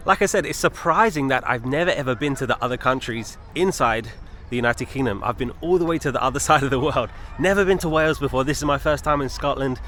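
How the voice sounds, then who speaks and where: An English man chats to his viewers as a travel vlogger walking outside. His voice sits at an average pitch, and he talks casually and keenly, with plenty of emphasis. Faint city and traffic noise runs behind him. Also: quick pace, fairly bright tone. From England. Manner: enthusiastic, repeats intensifiers. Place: outdoors on city streets and in a park.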